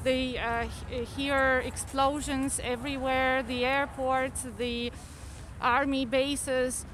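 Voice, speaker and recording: A woman's voice: high voice, level tone, slow pace. A woman, hesitant and repeating words, interviewed outdoors on a city street. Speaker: interviewed protester. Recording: noisy outdoor urban street.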